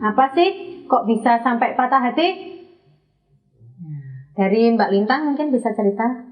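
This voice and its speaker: Higher-voiced woman hosting a podcast, distant mic. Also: moderate pace, dull audio, faint music behind. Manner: friendly, leading questions, laughs often. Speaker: podcast host. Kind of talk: hosting a casual podcast chat.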